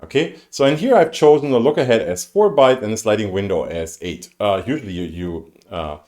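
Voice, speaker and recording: A male university lecturer with a German accent explains material to students in a fairly low voice. His pitch swings widely as he goes, and he keeps things informal, thinking aloud and adding asides. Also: animated, fairly quick, dark tone. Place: indoors, live-streamed lecture over slides.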